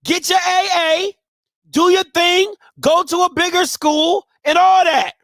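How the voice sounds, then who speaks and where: Host, male, 30s, from Maryland. High voice, blunt and emphatic, dry close sound. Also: fairly even intonation, a little slow, no echo. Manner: emphatic, blunt, swings between soft and shouting. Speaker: live show host. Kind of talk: casual advice talk to listeners.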